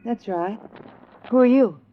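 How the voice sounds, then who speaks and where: An American actress playing a guarded, plain-spoken young roommate in a 1950s radio drama, hesitant and colloquial. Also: female voice, on the high side, measured pace, a little hiss or hum, natural voice. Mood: wary, shaken. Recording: dull old radio recording.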